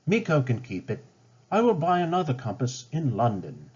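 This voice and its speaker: A man's voice: mid-range voice, huge pitch swings, moderate pace, a little mellow, husky, pitched above the performer's own voice. A man voicing a friendly, kind-hearted male adventurer from a storybook in a reassuring way. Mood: helpful. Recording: dull lo-fi home recording.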